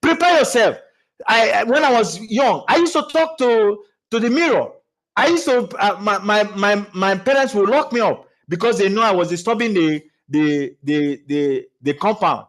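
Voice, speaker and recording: Guy w a high voice giving a pep talk on speaking, stumbles and repeats words a lot, laughs along. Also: moderate pace, low-quality recording. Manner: animated, with many restarts and stammered repeats; laughs while talking. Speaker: speaking coach. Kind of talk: motivational talk.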